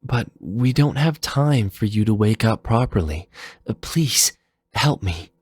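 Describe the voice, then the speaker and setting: A man voicing a gentle, anxious teenage girl in a fan fiction drama, soft and hesitant. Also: on the low side, lively intonation, unhurried, no room sound, voice pushed up. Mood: urgent pleading. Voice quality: soft, husky. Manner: hesitant, apologetic.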